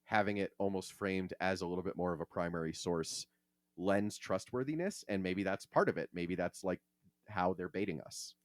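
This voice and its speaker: A man's voice: low-pitched, normal speed, no room sound. A man hosting a podcast in a casual, conversational style. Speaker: podcast host.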